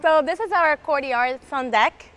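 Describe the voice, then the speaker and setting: A woman's voice: high voice, normal speed, over music. Upbeat woman giving a hotel tour. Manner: upbeat, with frequent "like" fillers. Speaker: hotel events representative. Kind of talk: guided venue tour. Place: hotel venue, indoors and on terraces. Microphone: lapel mic.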